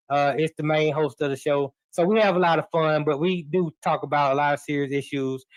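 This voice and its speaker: A middle-aged man talks sports in a loose, encouraging way, full of restarts and "you know." His voice sits a touch high for a man. The sound is dry and close, with no room around it. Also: no echo, low-quality recording. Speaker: podcast guest and host. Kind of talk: casual sports talk. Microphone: remote live stream.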